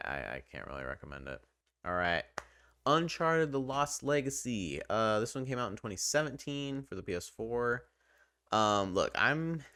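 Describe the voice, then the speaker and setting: A man's voice: sits low, a bit bright, clean tone, no room sound, clean studio sound. A man giving casual, unscripted video game commentary in an informal, hesitant, self-deprecating way. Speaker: video game reviewer and channel host.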